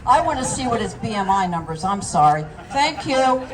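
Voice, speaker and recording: A woman's voice: medium pitch, very animated, normal speed, a crowd in the background. An older woman, a retired school nurse, giving a heated, sarcastic town hall comment, heard from a distant mic. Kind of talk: public comment at a rally. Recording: distant mic with clear noise.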